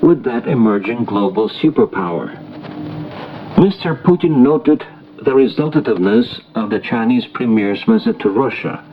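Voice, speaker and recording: A dull, lo-fi shortwave broadcast with faint noise carries a man's voice. He reads an international news bulletin as a radio newsreader. His delivery is formal, scripted and measured. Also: medium pitch, expressive. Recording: noisy, muffled shortwave radio broadcast recording.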